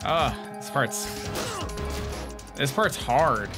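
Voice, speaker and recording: A man's voice: mid-range voice, animated, drawn-out words. A man giving casual, informal and jokey commentary over a video game playthrough. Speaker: gaming video host. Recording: game music under the voice. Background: game music.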